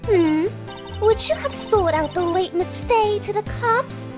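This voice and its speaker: A woman's voice: very high-pitched, lively intonation, a little slow, over music. A woman voicing a petulant, evasive young woman in a video game fan dub, girlish and snippy. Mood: snippy, pouting.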